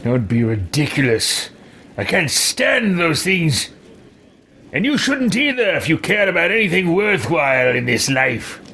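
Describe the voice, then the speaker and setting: A man puts on a haughty would-be dark emperor for game roleplay. He is pompous and disdainful, speaking slowly with big swings in pitch. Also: big pitch swings, gravelly, slight background noise, exaggerated voice.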